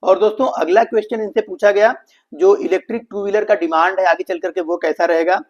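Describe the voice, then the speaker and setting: Fast-talking Indian man, slightly high voice. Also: fairly even intonation. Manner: explanatory, addressing viewers as friends, code-mixing English business terms. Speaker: financial analysis presenter. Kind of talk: explainer commentary on an earnings call. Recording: dull lo-fi voice recording.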